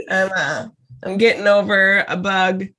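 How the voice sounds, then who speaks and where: A woman's voice: medium pitch, fairly even intonation, slow pace, pushed and bright, rough recording. A young adult woman co-hosting a podcast, nasal and congested from a cold, bubbly and laughing often. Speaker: podcast co-host. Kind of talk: casual chat.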